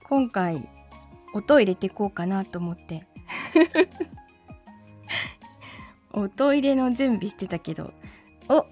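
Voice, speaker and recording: A woman's voice: medium pitch, animated, takes it slowly, long pauses, a little mellow, soft background music. A woman hosting a casual livestream, chatting and teasing in a giggly way while she greets commenters. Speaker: livestream host and voice actor. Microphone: microphone through an audio mixer.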